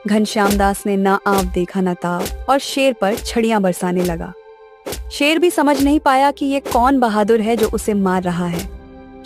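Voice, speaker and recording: A woman's voice: medium pitch, darkish tone. A woman narrating a scripted children's moral story.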